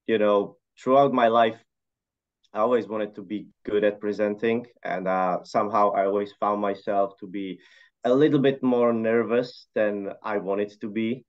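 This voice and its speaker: Low-voiced man on a dull line. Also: moderate pace. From Czech Republic. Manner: relaxed, hesitant with many fillers. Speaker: interview guest. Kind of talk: casual conversational answers.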